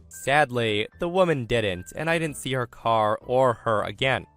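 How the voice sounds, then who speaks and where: A man hosting and narrating online stories in a chatty, animated style with casual commentary. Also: medium pitch. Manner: chatty, acts out quoted dialogue. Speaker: host and narrator of online story videos.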